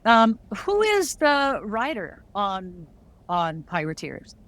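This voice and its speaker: A woman's voice: mid-range voice, wildly swooping pitch, slow, fairly bright tone. A woman hosting a casual interview, chatty and thinking aloud as she goes. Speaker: podcast host interviewing guests.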